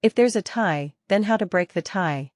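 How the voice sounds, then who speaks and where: A woman working as a software trainer, teaching interactively step by step, repeating key points and posing questions to learners. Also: mid-range voice, expressive, moderate pace, dry recording, clean studio sound.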